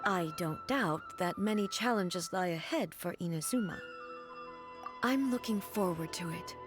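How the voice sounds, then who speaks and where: A woman playing a dignified, solemn ruler in a video game, speaking in a measured, formal and earnest way. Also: fairly low pitch, lively intonation. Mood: resolute. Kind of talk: video game character acting. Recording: studio voice over prominent game music.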